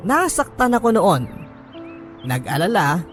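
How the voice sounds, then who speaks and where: Guy narrating a sad radio story, solemn and reflective, slightly high voice, soft music behind. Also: very animated, measured pace. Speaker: storyteller reading a listener's letter. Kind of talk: dramatic scripted radio narration.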